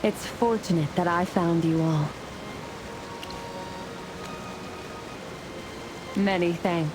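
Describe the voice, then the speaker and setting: A woman's voice: on the low side, moderate pace, noisy background, close to an everyday voice. A woman playing a resolute celestial fire warrior in a fantasy game, speaking in a grave, formal, declamatory way. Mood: reflective. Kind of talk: scripted fantasy game voice acting. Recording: music and rain behind the voice. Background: music, rain.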